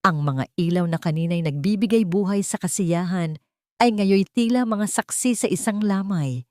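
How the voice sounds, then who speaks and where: A woman narrating a scripted dramatic story in measured phrases with deliberate pauses. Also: fairly low pitch, darkish tone, no room sound, studio quality.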